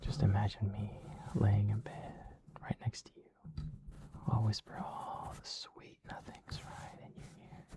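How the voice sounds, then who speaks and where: A man whispering ASMR in the persona of a dog, with soft, coaxing, soothing pet-talk. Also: fairly low pitch, vocal fry.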